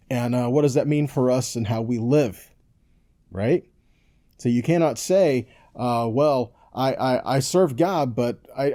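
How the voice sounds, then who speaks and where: Animated man teaching scripture, mellow dark tone. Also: medium pitch. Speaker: Bible teacher. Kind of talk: conversational sermon, scripture teaching.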